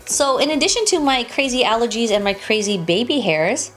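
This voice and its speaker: A woman's voice: a little high, expressive, a little fast, fairly bright tone, faint music behind. A young woman beauty vlogger talking casually to camera about products, her voice nasal and congested from allergies, chatty and upbeat. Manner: chatty, upbeat, repeats and restarts phrases.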